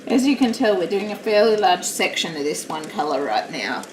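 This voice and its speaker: A woman with a naturally loud, slightly low voice chats in a rambling, self-deprecating way while she works on a craft at home. She sits back from the mic in a roomy space, and a steady noise runs underneath. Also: fairly quick, far from the mic. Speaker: live-streaming craft hobbyist. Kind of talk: casual chat while crafting.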